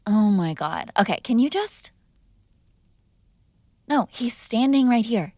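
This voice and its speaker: A woman's voice: a little high, lively intonation, slow pace, a little mellow, no room sound, studio quality. A young woman performing an audio roleplay as a defiant, sarcastic mob boss's daughter arguing with her father. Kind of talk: scripted ASMR girlfriend roleplay.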